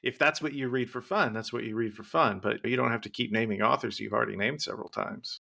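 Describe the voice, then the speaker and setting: A male podcast host with a gravelly, fry-heavy voice that still sounds bright and forceful. He talks casually, wanders through long thoughts and keeps slipping in teasing jokes. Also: medium pitch. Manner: rambling, teasing, quick to joke.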